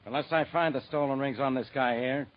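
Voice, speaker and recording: An actor playing a gruff, touchy police inspector in a 1940s radio drama. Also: male voice, mid-range voice, a little fast, lightly acted. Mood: stern. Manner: grumbling, defensive. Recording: muffled old radio recording.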